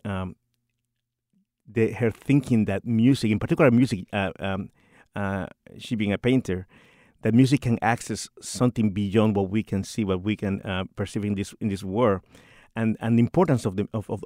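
A man's voice: lowish voice, steady tone, normal speed, mellow, no room sound, clean studio sound. A middle-aged man from Rico, a composer and church organist talking in a radio interview, hesitant and full of restarts and repeated words. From Puerto Rico.

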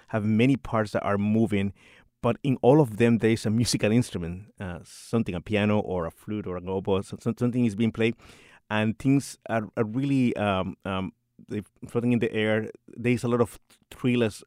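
A middle-aged man with a lowish voice, talking as a composer in a radio interview. He stumbles and restarts often, repeating little words as he searches for them. The sound is dry and close. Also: a little mellow, no room sound. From Puerto Rico. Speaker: composer and church organist.

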